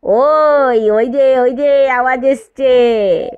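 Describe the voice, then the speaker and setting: A woman voicing a scheming, excitable, confiding young uncle in an audio story. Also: high-pitched, very slow, narrow, tinny audio, a little hiss or hum. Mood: excited.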